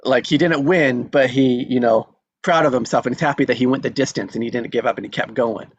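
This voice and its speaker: A man's voice: medium pitch, brisk, dry recording, dull audio. A man hosting an online table read, chatting casually in an upbeat, rambling, encouraging way. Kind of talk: casual chat. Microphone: video call.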